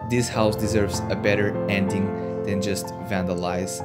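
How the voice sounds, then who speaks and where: A young man, narrating an urban-exploration vlog in an earnest, plain way, with a few halting restarts. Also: on the low side, little pitch movement. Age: young adult. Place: indoors, in a decaying abandoned house. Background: loud guitar music.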